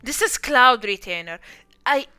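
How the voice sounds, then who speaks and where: A woman's voice: a little high, animated, measured pace, pushed and bright, clear, resonant tone, dry recording, faint music behind. A young woman streamer chatting casually and rambling, with self-deprecating asides, while playing a game. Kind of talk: casual live-stream commentary.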